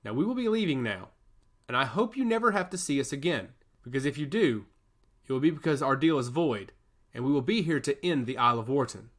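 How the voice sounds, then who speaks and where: A man voicing an evil, threatening envoy in a fantasy audiobook, speaking in slow, deliberate threats. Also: medium pitch, lively intonation, close to an everyday voice. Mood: gloating menace. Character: menacing, gloating male zealot envoy, evil and threatening.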